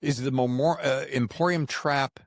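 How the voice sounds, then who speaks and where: A man's voice: medium pitch, steady tone, moderate pace, no room sound, clean studio sound. A man hosting a podcast, chatting casually in a rambling way with jokey asides. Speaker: podcast host. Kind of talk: casual interview chat.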